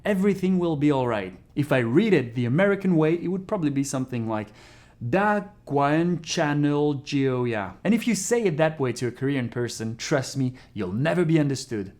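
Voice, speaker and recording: A man's voice: mid-range voice, animated, fast talker, few pauses, a little mellow. A man who is a non-native English speaker giving a casual, frank video lesson on learning a language. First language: not English. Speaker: language teacher YouTuber.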